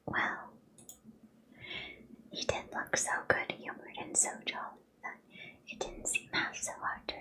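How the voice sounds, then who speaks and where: A woman reading a classic novel aloud in a steady whisper. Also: low-quality recording. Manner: whispered throughout. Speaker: reader.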